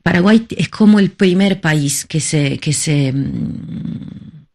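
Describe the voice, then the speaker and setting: A woman from Italy, a filmmaker who is not a Spanish speaker, answering interview questions in a halting way, often searching for words and restarting. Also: deep voice, a little mellow. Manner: halting, searching for words, many repeated starts. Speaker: documentary filmmaker, interview guest.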